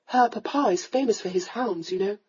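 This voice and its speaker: A woman's voice: high voice, expressive, fairly quick, mellow, husky, dull audio, pitched above the performer's own voice, full character voice. A woman voicing a reluctant young noblewoman answering her mother in a subdued, patient way. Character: tall, reluctant young noblewoman, weary daughter.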